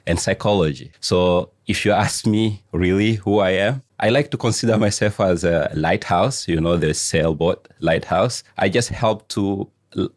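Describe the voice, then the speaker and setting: A middle-aged man from Tanzania, a coach answering interview questions slowly, pausing often as he searches for words. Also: low-pitched, no room sound, studio quality. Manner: slow, searching phrasing with frequent "uh" pauses. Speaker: guest coach. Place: studio.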